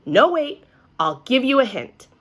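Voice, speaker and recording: An excitable little first-grade girl from a storybook, acted out by a woman. Her voice is pitched up, and she talks with blunt, bossy emphasis, repeating words to make her point. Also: a little slow, full character voice. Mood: eager. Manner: emphatic, repetitive, childlike bluntness.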